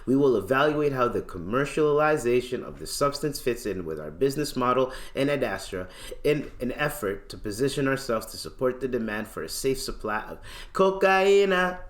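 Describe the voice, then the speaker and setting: A man with a medium-pitched voice hosts a casual podcast. He reads news copy with playful theatrical emphasis, repeats words and drops into slangy asides. The recording is noticeably echoey and roomy. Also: lively intonation, moderate pace. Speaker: podcast host. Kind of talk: casual podcast commentary with dramatic reading of news.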